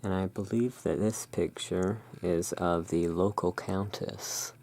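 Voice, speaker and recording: Very slow, low, creaky ASMR voice. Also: fairly even intonation, a little mellow. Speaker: host of a roleplay as a curiosity emporium owner. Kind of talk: ASMR roleplay, describing tarot card art.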